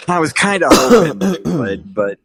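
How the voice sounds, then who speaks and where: A man's voice: a little high, very animated, unhurried, fairly bright tone. A man hosting a casual podcast, rambling and joking with his co-host, often restarting his sentences.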